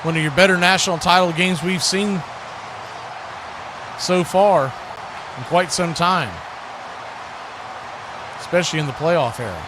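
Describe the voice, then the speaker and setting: A man with an American accent doing excitable live play-by-play commentary of American football. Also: on the high side, measured pace, long pauses. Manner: excitable, calling action as it happens. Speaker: sports play-by-play announcer. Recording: clear background noise.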